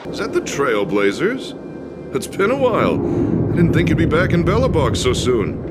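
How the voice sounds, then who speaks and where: A man's voice: on the high side, very animated, moderate pace, very noisy. An actor playing a principled, dutiful, uncompromising man with a formal, emphatic delivery in a game. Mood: courteous. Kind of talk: game cutscene voice acting.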